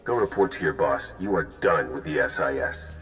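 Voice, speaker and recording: A man's voice: extremely low pitch, normal speed, fairly bright tone, soft background music. An actor playing a wry, blunt male spy who is easily exasperated, in a video game cutscene. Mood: cold anger. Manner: blunt, sardonic.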